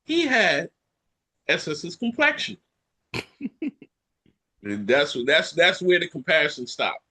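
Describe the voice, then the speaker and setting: Lively, breathy-voiced man telling stories. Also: a little high, slow pace. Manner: animated storyteller who acts out other people's lines and laughs mid-sentence. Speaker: podcast co-host. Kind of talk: casual chat, storytelling.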